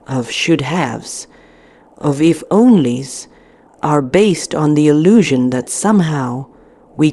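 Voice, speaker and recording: A woman narrating a scripted guided meditation in a deliberate, soothing manner with frequent pauses. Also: deep voice, dark tone.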